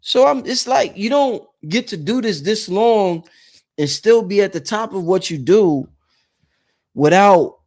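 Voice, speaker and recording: A man's voice: high-pitched, expressive, measured pace, darkish tone. A man in his late thirties talking casually to his viewers about spiritual matters, rambling and repeating phrases for emphasis. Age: late 30s. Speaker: host of a spirituality channel.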